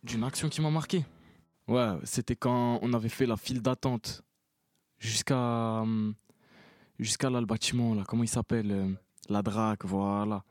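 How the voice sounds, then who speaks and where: A young man with a mid-pitched voice tells a vivid story full of slang. The recording is dry and close, and his delivery is thoroughly colloquial. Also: fairly even intonation, a little slow, a little mellow, no echo, faint music behind. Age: young adult. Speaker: participant in a theatre occupation. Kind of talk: slangy spoken anecdote.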